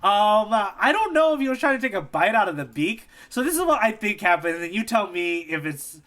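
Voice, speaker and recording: A man's voice: high voice, very animated, brisk, fairly bright tone. A man co-hosting a podcast in casual conversation, talking in a playful, storytelling way. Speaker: podcast co-host, tour guide. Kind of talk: casual chat, manga readalong podcast.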